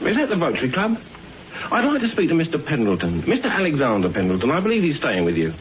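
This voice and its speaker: An actor playing a cheeky, sceptical young detective's assistant in a classic radio drama, brisk and informal. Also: male voice, on the high side, wildly swooping pitch. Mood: brisk, polite. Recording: noisy, narrow old radio recording.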